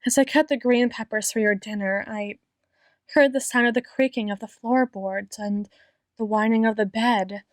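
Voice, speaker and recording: A woman playing an obsessive, menacingly tender doctor in an ASMR roleplay, speaking in a deliberate, theatrical monologue with drawn-out words. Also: fairly high pitch, steady tone, dry recording, natural voice. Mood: quiet menace. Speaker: audio roleplay performer.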